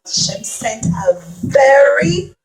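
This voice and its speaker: A woman telling a Bible story to young children in an expressive, teacherly way, with simple repeated phrases and questions. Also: high-pitched, slow pace, soft background music.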